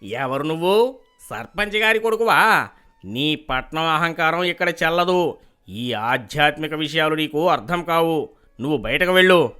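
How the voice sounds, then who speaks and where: A man voicing a pompous, fraudulent village priest in an animated moral story, giving grave and imperious warnings. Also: on the high side, moderate pace, clean tone. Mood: angry, scornful.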